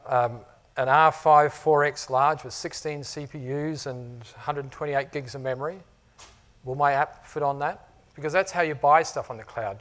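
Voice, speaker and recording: A middle-aged man with a New Zealand accent mixed with Australian, giving a conversational conference talk to customers, with many "you know"s and "uh"s. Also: fairly high pitch. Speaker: technology architect presenting to customers. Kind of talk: conference presentation. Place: conference room before a live audience.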